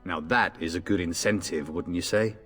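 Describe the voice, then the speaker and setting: Casting: cocky, teasing young noble warrior for a fantasy game; lower register, music behind him. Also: male voice, moderate pace, a bit bright, breathy edge, long reverb tail. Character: jaunty, teasing young nobleman soldier, husband and father. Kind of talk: video game voice acting.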